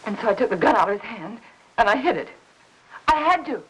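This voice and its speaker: An American actress playing a frightened, distraught housewife in a 1950s film noir, speaking in halting, pleading phrases. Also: female voice, on the high side, animated, fairly bright tone, breathy edge, poor audio. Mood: distraught, fearful. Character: frightened, distraught housewife cornered by suspicion, guilt-stricken. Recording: old 1950s film soundtrack.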